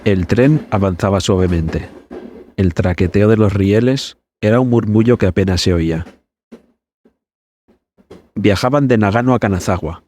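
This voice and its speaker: A man hosting a podcast, narrating a scripted story in a measured, suspenseful manner. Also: fairly low pitch, dark tone, slight background noise.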